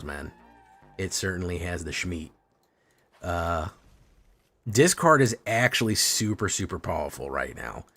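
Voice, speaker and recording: A man streaming a card game, chatting casually with his viewers and reacting to plays. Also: low-pitched. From Chile. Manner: relaxed, chatty, reacting to chat. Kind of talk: casual live-stream commentary. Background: faint music.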